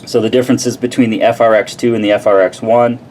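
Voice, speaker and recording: A man's voice: medium pitch, level tone, fast, a little mellow. A man giving a casual, plain-spoken product review and demonstration in an informal way. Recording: clearly noisy background.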